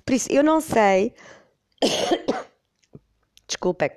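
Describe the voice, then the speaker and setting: A middle-aged woman with a European Portuguese accent hosts her podcast while stuffy with a cold. She rambles and corrects herself with amusement as she tells a personal story. Her voice is smooth and clear, recorded close and dry. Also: a little high, animated, drawn-out words, a little mellow, dry recording. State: congested with a cold. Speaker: podcast host.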